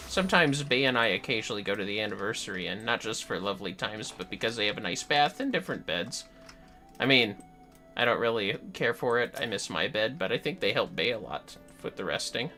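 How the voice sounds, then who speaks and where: Man, casual livestream chat, musing aloud, very animated pitch, bright tone, faint music behind. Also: a little fast. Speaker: livestreamer playing a video game.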